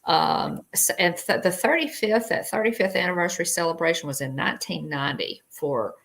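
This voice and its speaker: Gravelly low-voiced woman chatting on a livestream. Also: fairly quick, a bit bright. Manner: rambling, chatty, greets viewers by name. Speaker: livestream co-host. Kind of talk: casual live chat.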